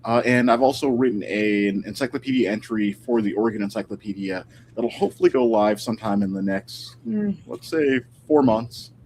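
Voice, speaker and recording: A man's voice: fairly low pitch, lively intonation, brisk, a little mellow, a little hiss or hum. A man talking casually and thoughtfully as a guest historian in an informal interview, picking his words tentatively over a video call.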